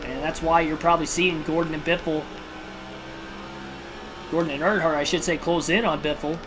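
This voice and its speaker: A man hosting a livestream and giving realistic play-by-play commentary on a racing video game, in a steady broadcaster style with casual personal asides. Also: on the high side, normal speed, low-quality recording. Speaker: livestream host and race commentator. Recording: video game music clearly behind voice.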